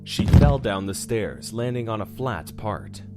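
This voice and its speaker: Animated male horror narrator over music. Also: fairly low pitch, measured pace. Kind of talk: scripted horror drama narration.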